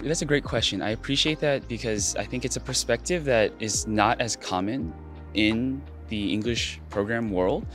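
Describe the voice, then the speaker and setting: A man's voice: lowish voice, normal speed, fairly bright tone, soft background music. A young man from San Francisco, an English teacher answering a street interview outdoors in an earnest, reflective way. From San Francisco, California. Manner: earnest, reflective, drops Korean phrases. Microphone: handheld mic held by interviewer.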